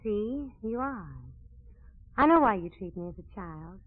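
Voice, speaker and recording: A woman's voice: mid-range voice, expressive, measured pace. An American actress in 1940s radio drama playing an eager, stubborn, stage-struck young woman who pleads earnestly. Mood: pleading, defiant. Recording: dull, lo-fi 1940s radio recording.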